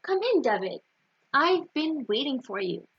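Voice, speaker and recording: A woman's voice: high-pitched, sing-song, unhurried. Woman voicing a wise, assured village rabbi. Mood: knowing. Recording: dull, roomy lo-fi recording.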